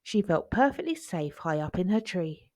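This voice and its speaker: A woman narrating a children's picture book in an expressive storytelling style. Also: on the low side, moderate pace, dry recording, clean studio sound.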